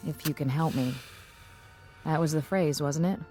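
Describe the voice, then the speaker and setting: An actress playing a thoughtful, quietly uneasy woman in a radio drama, measured, dry and understated. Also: low voice, faint music behind, lightly acted. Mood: uneasy, wary. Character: thoughtful, uneasy female language analyst, quietly wary.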